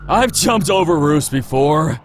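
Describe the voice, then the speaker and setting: Visual novel runaway, played by a man: reckless, commanding, higher-pitched and animated, sirens behind. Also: unhurried. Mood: terse, determined. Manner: urgent, commanding, terse exclamations. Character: gruff, reckless, reassuring drunken man on the run. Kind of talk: dramatic visual novel character reading. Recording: sirens and street noise behind voice. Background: sirens, cars.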